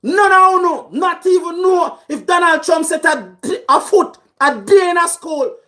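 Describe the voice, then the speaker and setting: A man with a Jamaican accent, shouting through an angry political rant. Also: extremely high pitch, measured pace. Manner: shouting, raging.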